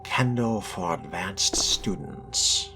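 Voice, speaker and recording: Man playing a deep, gravelly, haughty vampire. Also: big pitch swings, takes it slowly, over music, exaggerated voice. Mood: disdainful. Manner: pompous, dryly mocking, formal wording. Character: haughty, sardonic male vampire fledgling with refined disdain. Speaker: player role-playing a video game character. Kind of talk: in-character gameplay monologue.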